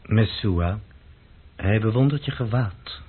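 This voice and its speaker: An actor playing a dignified, serious lawyer of about thirty in a radio play, speaking in a measured, courteous, explaining way. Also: male voice, fairly low pitch, lively intonation, slightly rough, heavily acted. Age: 30s. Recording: dull, muffled old radio recording.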